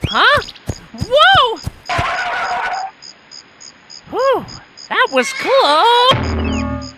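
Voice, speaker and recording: A woman's voice: very high-pitched, huge pitch swings, very slow, over loud music, exaggerated voice. A woman voicing a young male monkey sidekick, cheeky and chatty, in a cartoon audio drama. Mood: alarmed.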